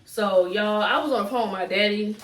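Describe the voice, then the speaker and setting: A woman's voice: medium pitch, a little fast. A young adult woman with a Southern US accent telling a casual, repetitive story to viewers as a vlogger. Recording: roomy echo, distant from mic.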